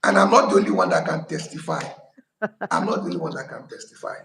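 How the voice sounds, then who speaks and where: A man's voice: medium pitch, fast, creaky, echoey room. A man hosting a casual live-stream chat, with a playful, hyping manner. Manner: animated, playful hype.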